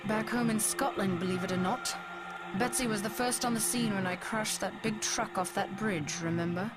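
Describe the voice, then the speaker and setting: A woman's voice: medium pitch, moderate pace, over loud music, natural voice. A woman voicing a villainous mutant who has possessed a young woman, casual and taunting, in a motion comic. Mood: smug, casual. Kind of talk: dramatic motion comic voice acting.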